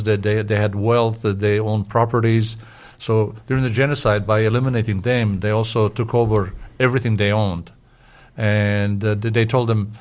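Older man, from Syria, low voice, narrow intonation, stammered repeats, explanatory interview talk. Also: darkish tone. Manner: halting, searching for words with stammered repeats. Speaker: interview guest.

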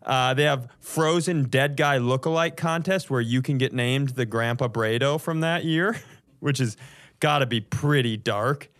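Guy on a comedy podcast reading out a weird story, swears some, chuckly, average pitch. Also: a little slow, a bit bright. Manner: chuckles through his telling, profane. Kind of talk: casual comedic storytelling from notes.

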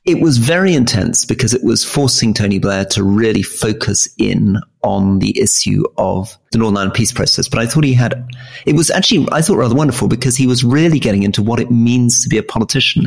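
A man co-hosting a political podcast, speaking in a measured, articulate way with enthusiastic reactions. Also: on the low side, creaky. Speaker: podcast co-host. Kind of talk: casual political chat.

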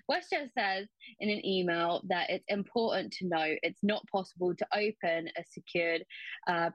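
A woman's voice: lowish voice, dry recording, rough recording. A woman chatting casually through news items in an informal, self-deprecating, giggly way. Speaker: news segment contributor.

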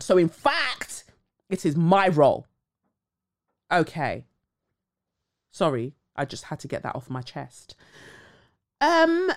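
A blunt, outspoken woman runs her own commentary show, speaking casually and cursing without hesitation. Her voice sits a little on the low side, and she keeps a loose, conversational flow broken up by dramatic asides. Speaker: podcast host.

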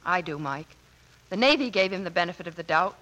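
Casting: loyal young Navy sister, animated female voice, forceful and clear-cut, old radio acting. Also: on the low side, brisk, poor audio. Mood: earnest, reproachful. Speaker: actor. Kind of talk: 1940s radio drama acting.

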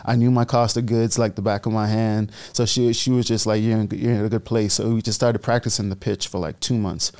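A man's voice: lowish voice, little pitch movement, a little fast, hardly any pauses, darkish tone. A young man from the Bahamas, a guest entrepreneur telling his story in a casual interview and acting out the quotes.

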